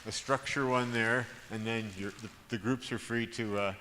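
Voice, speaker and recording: A man's voice: mid-range voice, fairly even intonation, normal speed, pushed and bright. Man moderating in an echoing hall. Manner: hesitant, restarts often, chuckles. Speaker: panel moderator. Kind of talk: organizing a group discussion. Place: large conference room. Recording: roomy hall reverb, background hiss.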